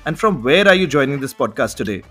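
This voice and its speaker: A man's voice: mid-range voice, very animated, quick pace, sharp tone, faint music behind. A man hosting a podcast, giving polished introductions and guiding the conversation. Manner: polished, welcoming introductions with frequent "you know". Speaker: podcast host.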